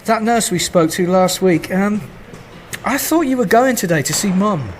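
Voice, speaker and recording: An actor playing an anxious, bewildered husband in an audio drama, speaking in a hesitant, urgent, flustered way. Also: male voice, sits high, animated, moderate pace, noisy background. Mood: worried, hesitant. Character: anxious, bewildered husband under growing strain.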